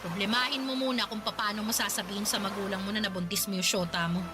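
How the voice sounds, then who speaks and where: A woman voicing a blunt, sharp-tongued pregnant young woman in a radio drama, over background music. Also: medium pitch, fairly even intonation, fast talker, noisy background, lightly acted. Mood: scolding. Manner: sharp, scolding. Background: music bed.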